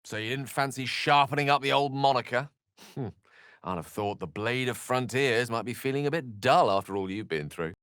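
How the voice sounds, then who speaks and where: A man's voice: medium pitch, moderate pace, fairly bright tone, clear, resonant tone, no room sound, clean studio sound, natural voice. A man playing an erudite, witty wizard in a fantasy video game, speaking with eloquent, wry and courteous theatricality. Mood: wry, amused.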